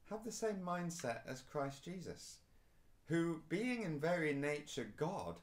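A man, preaching a recorded sermon to camera in a small indoor room, earnest and emphatic. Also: medium pitch. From England. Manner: earnest, rising and falling emphasis, gestures while speaking. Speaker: pastor.

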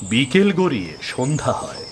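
A man's voice: medium pitch, sing-song, moderate pace, slight background noise. A man narrating a scripted fairy tale as a storyteller.

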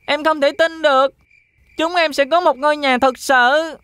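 Little lost boy: eager, innocent, very high and bright cartoon delivery. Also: fairly even intonation, normal speed, no echo. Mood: overjoyed. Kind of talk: dubbed children's cartoon acting.

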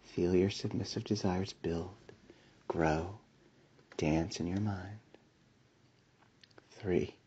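A man delivering a slow hypnotic induction in a soft, soothing voice with breathy whispers and a repetitive commanding cadence. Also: low voice, fairly even intonation, lo-fi sound. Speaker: hypnosis audio creator.

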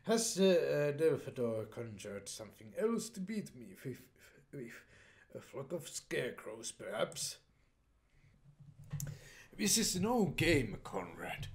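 Young male let's-play narrator, unhurried and halting, lively pitch, reads game dialogue aloud. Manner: hesitant, many fillers and restarts, reads dialogue in flat voice. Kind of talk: casual gameplay commentary, reading game text aloud.

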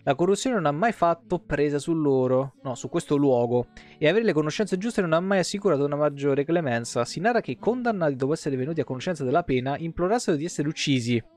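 A man's voice: fairly high pitch, animated, fast talker, few pauses. A man, livestreaming as he reads game lore aloud expressively, with offhand asides and self-corrections. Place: indoor room, webcam livestream. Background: soft guitar game music.

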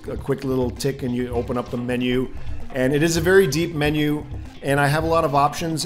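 Middle-aged man, North American accent, mid-pitched voice, emphatic explainer delivery, close studio mic, music behind. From Canada and the United States, born in Czechoslovakia. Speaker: video creator and colorist presenting. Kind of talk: explanatory talk to camera. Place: small room indoors at a desk. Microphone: studio condenser mic on desk stand.

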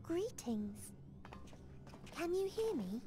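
Slow, bleak otherworldly girl. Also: very high-pitched, very animated, exaggerated voice. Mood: cold, bewildered. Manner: soft, detached, measured, solemn. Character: young girlish otherworldly being, bleak, detached nihilist. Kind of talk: video game voice acting. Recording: loud music behind voice.

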